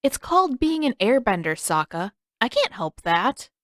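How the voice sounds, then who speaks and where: A woman's voice: on the high side, big pitch swings, normal speed, dry recording, clean studio sound, voice pushed up. A woman voicing a cheerful, easygoing young boy in a storybook, light and playful.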